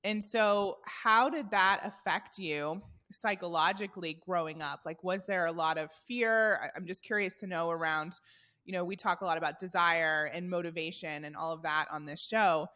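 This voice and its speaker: A woman's voice: lowish voice, normal speed, pushed and bright. A woman hosting a podcast interview, asking curious, encouraging questions. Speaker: podcast host.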